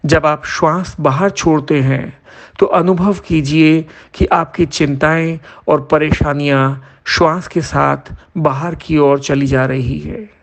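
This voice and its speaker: A man leading a guided yoga nidra meditation as an instructor, giving measured instructions in short phrases with pauses. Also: a little high, steady tone, gravelly.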